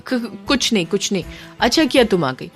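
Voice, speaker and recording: A woman voicing a flustered, excitable rustic man in a comic audio drama, hurried and grumbling, easily embarrassed. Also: mid-range voice, soft background music, lightly acted. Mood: startled, flustered. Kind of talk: Hindi audio drama character voice.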